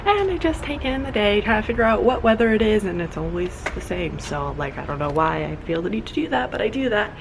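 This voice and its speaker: A woman's voice: medium pitch, big pitch swings, fairly quick, vocal fry, hardly any pauses, background noise. A young woman vlogging casually at home, chatty and rambling, a little congested and nasal. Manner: chatty, rambling, self-deprecating. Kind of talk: casual vlog chat. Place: at home in an apartment.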